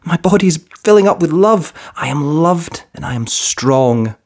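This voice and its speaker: A man's voice: on the high side, animated, moderate pace, gravelly, pitched above the performer's own voice. A man voicing a shy, sweet six-year-old girl in a children's storybook, hesitant and earnest. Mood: shy wonder.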